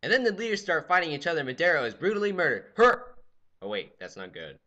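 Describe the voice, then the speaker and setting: A teenage boy giving a goofy, jokey history lecture, swinging from loud exclamations to quiet asides. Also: a little high, quick pace, highs cut off. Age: teens. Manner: goofy, animated, jumps between loud exclamations and mutters. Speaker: student presenter. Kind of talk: comedic lecture with skits.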